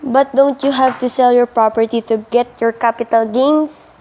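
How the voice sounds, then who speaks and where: Female amateur as skeptical radio interviewer, high-pitched, questioning, scripted delivery. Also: steady tone, normal speed, darkish tone, a little hiss or hum, close to an everyday voice. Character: doubting female radio interviewer pressing for answers.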